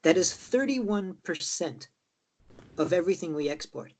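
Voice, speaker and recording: A man's voice: high voice, expressive, unhurried, darkish tone. An Irish man in a casual political discussion, rambling and peppering his talk with tag questions. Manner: rambling, with many "like" and "right?" tags. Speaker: political party member.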